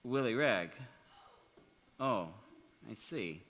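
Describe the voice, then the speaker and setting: An earnest young suitor in a 1940s-style radio play, acted by a man, heard in an echoey hall. His pitch swings widely as he stammers through stiff, formal, wordy phrases. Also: expressive, extremely slow, fairly bright tone, full character voice. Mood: anxious, crestfallen. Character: awkward, earnest, long-winded young suitor. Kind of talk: 1940s radio drama acting on stage. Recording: echoey hall, long reverb.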